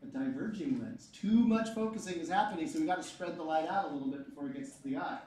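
A man in his forties teaching a physics class, lively and joking, repeating his key points. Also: a little high, fast talker, slight background noise. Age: 40s. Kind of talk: classroom lecture. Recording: echoey room, mic far off.

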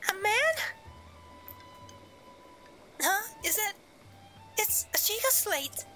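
A man's voice: very high voice, very animated, extremely slow, sharp tone, faint music behind, voice pushed up, full character voice. A man voicing a shy, flustered young woman from a fantasy game, timid and stammering. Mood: flustered, startled.

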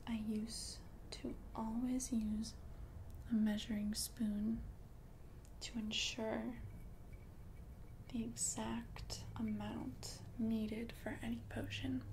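An ancient witch, played by a woman, murmurs in a soft, breathy ASMR whisper. The delivery is unhurried and barely varies in pitch, confident and soothing as potions are brewed. Noticeable background noise sits under the voice. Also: level tone, rough recording. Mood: hushed, cautioning. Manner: slow, deliberate, soothing, repeats words for emphasis.

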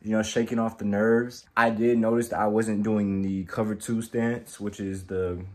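Young adult male vlogger, lowish voice, informal self-review delivered straight to camera indoors. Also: level tone, moderate pace. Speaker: amateur boxer, vlogger. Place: indoor room in front of curtains.

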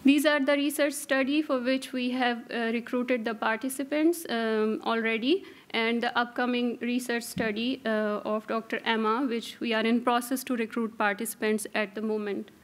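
A woman in her thirties presents at a conference podium, her slightly high voice held on a narrow, even line. She talks carefully, pausing often on fillers as she moves through her points. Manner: careful, hesitant delivery with many fillers. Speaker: registry coordinator presenting. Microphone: podium microphone.

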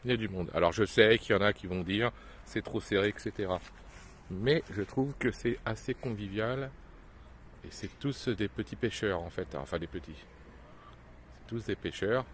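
A man's voice: on the low side, moderate pace, a little hiss or hum. A middle-aged man giving casual, chatty, appreciative commentary as he films a handheld vlog. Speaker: camper van vlogger. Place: outdoors, washrooms and inside a camper van.

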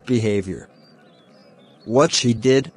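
Slow-talking, kind young cartoon man acted by a man. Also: medium pitch. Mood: helpful, concerned. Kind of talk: slow, simple scripted storytelling for children.

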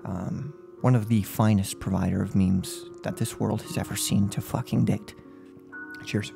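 A young man in his twenties playing a gloating, unhinged serial killer in an ASMR role-play, speaking close to the mic in a halting, mock-polite, menacing way. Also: on the low side, fairly even intonation, vocal fry, over music. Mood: smug menace. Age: 20s. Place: small furnished room at home. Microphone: close microphone on a boom arm.

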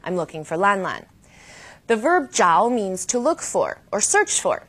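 A woman hosting a language lesson with scripted, didactic delivery, slowing down to model key phrases. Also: medium pitch, very animated, a bit bright, breathy edge. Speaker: host and language teacher. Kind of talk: scripted educational presenting.

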